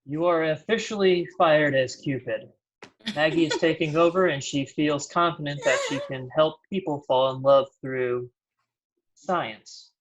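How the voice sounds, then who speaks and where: A man's voice: on the high side, measured pace. A man acting a stern, blunt thirty-year-old efficiency expert in a comedy table read, scolding in a dry manner. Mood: firm, dismissive. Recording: dull, lo-fi sound.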